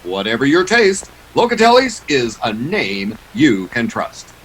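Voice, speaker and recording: A man's voice: medium pitch, wildly swooping pitch, a little slow, heavy background noise. A man acting a polished 1940s radio announcer, delivering sponsor copy and sign-offs with showy salesmanship. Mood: selling.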